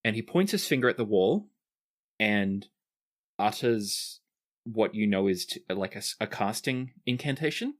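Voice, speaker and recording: A man's voice: fairly low pitch, animated, unhurried. A man narrating as game master, describing actions in a casual way with frequent restarts.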